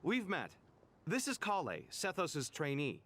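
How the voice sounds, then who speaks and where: A man voicing a principled, cultured young merchant lord in a video game, articulate and expressive. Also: on the high side, a little slow, full character voice. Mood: curious, concerned.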